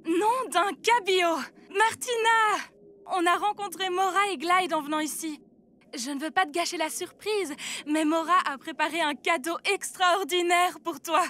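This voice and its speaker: A woman voicing a bubbly, excitable seahorse girl in an animated series, with a gushing, enthusiastic delivery. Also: very high voice, moderate pace. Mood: excited. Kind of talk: French animated series dubbing.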